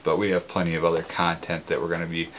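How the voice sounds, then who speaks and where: A man's voice: low-pitched, flat delivery, fast, a little hiss or hum. A man gives a casual, conversational, plain-spoken product review. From Minnesota, United States. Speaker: motorcycle gear reviewer.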